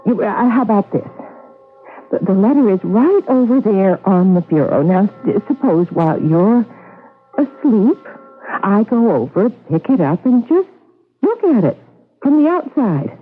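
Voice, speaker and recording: A woman's voice: mid-range voice, sing-song, unhurried, husky, faint music behind. A woman playing an eager, nosy, coaxing wife in a 1970s radio mystery, insistent and sure of her intuition. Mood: coaxing, curious. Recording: muffled vintage radio broadcast, faint noise.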